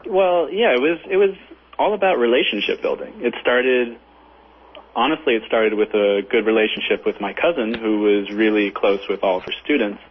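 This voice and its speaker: A man's voice: mid-range voice, moderate pace, breathy edge. A man giving conversational interview answers as a guest over the phone. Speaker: film director, interview guest. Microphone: phone call. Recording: tinny phone line with faint noise.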